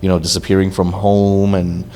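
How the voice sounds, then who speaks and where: A man's voice: sits low, flat delivery, normal speed, dark tone. A young man from Hong Kong, a social worker, speaking as a podcast guest and telling his life story in an earnest, candid way, with a lot of "you know" in between. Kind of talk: conversational interview storytelling.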